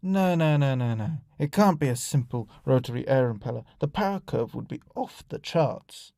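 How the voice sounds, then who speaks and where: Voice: medium pitch, animated, moderate pace, creaky, mellow, no echo, studio quality. A performer voicing a haughty, irritable male alien scientist in an audio story, with a sneering, indignant, emphatic delivery. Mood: frustrated disbelief.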